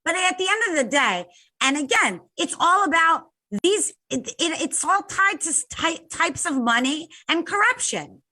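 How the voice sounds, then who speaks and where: A woman's voice: very high-pitched, sing-song, moderate pace, sharp tone. An American woman co-hosting a casual opinion discussion, questioning and insistent, talking in a colloquial way. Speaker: talk show co-host.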